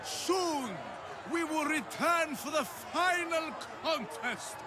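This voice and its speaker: An actor playing a grandiose ancient Greek arena announcer in a video game, projecting ceremonially to a stadium. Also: male voice, very high-pitched, takes it slowly, background noise. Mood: showy, rousing.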